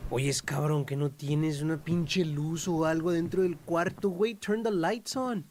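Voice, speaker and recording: A man's voice: on the high side, steady tone, moderate pace, darkish tone, slight background noise. A man streaming a horror game, trash-talking the characters with profane jokes and dropping Spanish slang into his English. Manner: joking, profane trash talk with the game's characters. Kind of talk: casual gameplay commentary.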